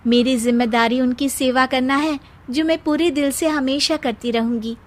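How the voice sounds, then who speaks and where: A woman's voice: high voice, fairly even intonation, moderate pace, close to an everyday voice. A woman voicing a dutiful, long-suffering young daughter-in-law in a family cartoon, polite and earnest. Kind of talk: Hindi family cartoon dubbing.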